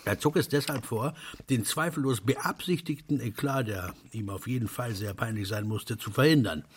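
A man's voice: mid-range voice, normal speed, slight background noise. A man narrating a radio play, reading scripted literary prose as a storyteller.

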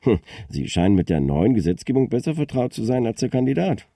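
An actor playing a formal, composed radio phone-in host who prompts and probes, in a radio drama. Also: male voice, mid-range voice, big pitch swings, fairly quick, warm tone, no room sound, studio quality. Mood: composed, pointed. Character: smooth, probing local radio phone-in host.

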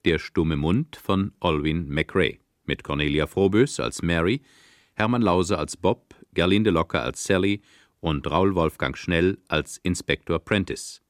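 A male radio announcer reads scripted credits in a formal style. His voice is very low, and the sound is dry and close, without room echo. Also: unhurried.

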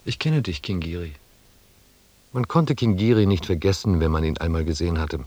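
An actor playing a reflective expedition scribe who narrates a radio drama in a measured, restrained and quietly melancholy way. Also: male voice, deep voice, dry recording. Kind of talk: scripted first-person narration in a German radio drama.

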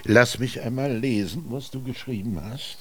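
An actor playing an old Egyptian master scribe in a radio drama, solemn and pompous, declaiming with drawn-out words. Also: male voice, medium pitch, fairly bright tone, slight background noise, lightly acted. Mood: stern, lecturing. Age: older. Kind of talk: German radio drama monologue. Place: studio.

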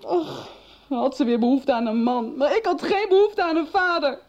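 Blunt bitter young woman, radio drama, mellow voice, slightly muffled sound. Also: sits high, normal speed. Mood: wistful, resentful. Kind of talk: 1990s radio soap acting.

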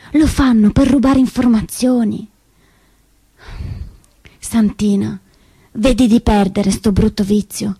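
An actress playing a spirited, cheeky teenage partisan girl, telling her story in an animated, dramatic stage monologue. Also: fairly high pitch, slightly rough. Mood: pleading, worried. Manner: expressive, dramatic phrasing, shifts between voices.

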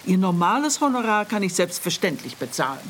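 An actress playing a worried, sceptical wife in a radio play, with a proper, pointed way of questioning. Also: female voice, on the low side, big pitch swings, a little fast, slight background noise. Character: worried, sceptical wife of a missing, indebted man.

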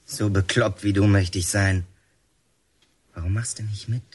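An actor playing a tough, scornful young male inmate in a radio drama, gruff and slangy. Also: on the low side, steady tone, unhurried, darkish tone. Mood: mocking. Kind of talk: German radio drama acting.

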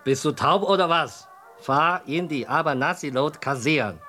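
A man's voice: fairly high pitch, moderate pace, sharp tone, faint music behind. A man playing a blunt, joking Chinese restaurant boss with shady business in a radio drama, curt and commanding. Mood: curt, bossy. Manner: curt, commanding, given to crude jokes.

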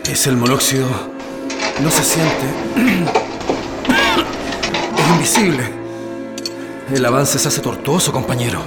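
A man's voice: mid-range voice, takes it slowly, pauses often, over loud music, close to an everyday voice. An actor with a Chilean accent playing a weary male political prisoner in a radio drama. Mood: weary, suffering.

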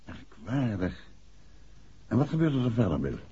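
An actor playing a calm, probing gentleman detective in a 1960s Dutch radio drama. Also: fairly low pitch, measured pace, slight background noise. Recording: dull 1960s radio recording.

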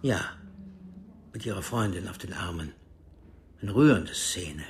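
Cool, low-voiced male officer. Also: lively intonation, darkish tone, slight background noise. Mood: cool, formal. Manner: formal, measured, detached. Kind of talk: radio drama acting.

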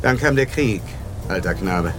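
An actor playing a wealthy, secretive, self-mythologizing gentleman in a radio drama, speaking with courteous, old-fashioned familiarity. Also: low voice, expressive, unhurried. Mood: proud. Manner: polished, boastful, addresses listener with old-fashioned familiarity. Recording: studio radio play with music underneath.

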